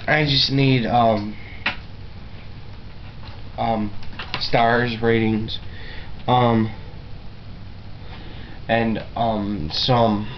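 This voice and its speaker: A man talks casually to the camera at a slow pace, rambling and stopping to search for words. His manner is self-deprecating. The sound is dull and lo-fi with faint noise behind it. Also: medium pitch, level tone. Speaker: amateur video blogger.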